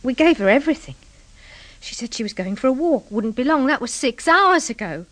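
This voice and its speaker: A distraught, protective mother speaks in a fairly high voice. She is tearful and halting, pleading anxiously in a radio crime drama. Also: expressive. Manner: hesitant, emotional, breathless pleading.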